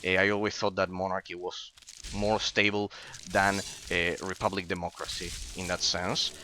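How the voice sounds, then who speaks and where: A man's voice: low voice, little pitch movement, normal speed, pushed and bright, slight background noise. A man in his late twenties whose first language is Spanish, chatting casually with stream viewers while gaming and often stumbling and repeating words as he starts sentences. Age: 20s.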